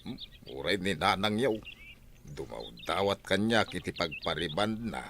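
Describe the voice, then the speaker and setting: A man's voice: low voice, expressive, measured pace, fairly bright tone, a little hiss or hum, exaggerated voice. An actor with an Ilocano Filipino accent playing a grumbling, stingy middle-aged village husband and father in a radio drama, blunt and exclamatory.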